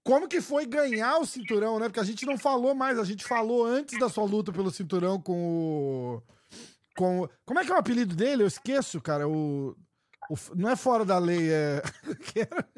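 Brazilian male host, high voice, dry close sound, slangy casual delivery. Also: animated, brisk, no echo. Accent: Brazilian Portuguese. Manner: loose, slangy, repeats and restarts words. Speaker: podcast host. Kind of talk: casual interview chat.

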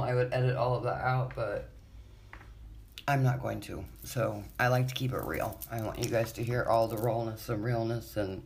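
Woman, podcast host, raspy, wheezy, congested, chatty, rambling, swears. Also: extremely low pitch. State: congested from allergies. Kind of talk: casual solo podcast chat.

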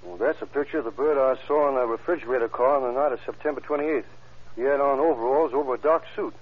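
A man's voice: mid-range voice, fast, natural voice. An actor playing a plain-spoken, matter-of-fact male deputy in an old-time radio crime drama. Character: plain-spoken, folksy male deputy giving his account. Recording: tinny phone-like vintage radio recording.

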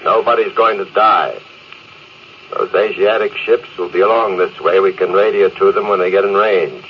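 A man's voice: deep voice, steady tone, normal speed, natural voice. A man playing a stern, stoic spaceship captain in an old-time radio drama, terse and authoritative. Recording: muffled, hissy vintage radio recording.

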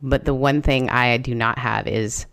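A woman's voice: extremely low pitch, steady tone, a little slow, creaky, fairly bright tone. A woman hosting a podcast, chatting casually with a friend and rambling with lots of fillers. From Southern US, Canada and Britain. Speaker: podcast host. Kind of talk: casual conversational interview. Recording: intro voiced over music.

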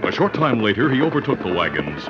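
A man narrating an old western radio drama in a measured, dramatic storytelling manner. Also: lowish voice, sing-song. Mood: dramatic, steady. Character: grave male narrator of a western adventure serial. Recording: dull vintage radio recording with music.

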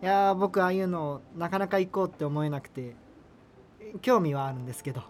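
A man's voice: high-pitched, a little fast, darkish tone, big-room sound, lightly acted. An actor playing an earnest, self-conscious male university student obsessed with subculture in a radio drama. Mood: awkward, earnest. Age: young adult. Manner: hesitant, self-conscious, apologetic. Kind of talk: radio drama dialogue and inner narration. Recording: music behind the voice. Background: music.

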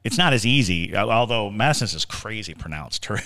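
A man co-hosting a podcast, telling stories and explaining in a casual but halting, deliberate way with drawn-out words. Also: on the low side, expressive, sharp tone, no echo. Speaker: podcast co-host. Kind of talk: casual discussion and storytelling.

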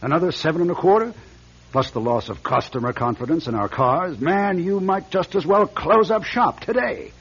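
An actor playing a blunt, cynical older executive who talks down to people in a radio drama. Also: male voice, fairly high pitch, animated, moderate pace, fairly bright tone, husky, full character voice. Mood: cynical, matter-of-fact. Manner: brash, patronizing. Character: blunt, cynical older former company president. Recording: dull lo-fi old radio recording.

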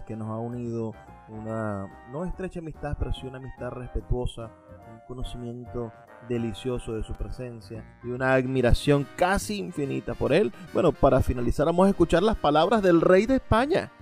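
Male radio host, Venezuelan accent, mid-pitched voice, effusive literary commentary, admiring manner, faint music behind.

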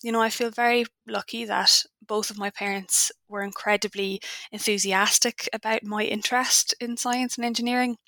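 A woman's voice: fairly high pitch, steady tone, normal speed, bright, forceful tone, no room sound. A woman with an Irish accent giving candid, reflective, conversational answers as a science author being interviewed. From Ireland.

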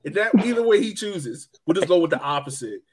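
A man's voice: on the high side, very animated, fairly quick. A man hosting a sports podcast, chatting casually in a joking, playful way. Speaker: podcast host.

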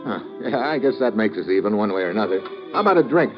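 An actor playing a wry, hard-boiled American investigator, terse and deadpan, narrating an old-time radio thriller. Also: male voice, fairly low pitch, fast. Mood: suspicious. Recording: muffled vintage radio recording, dramatic music.

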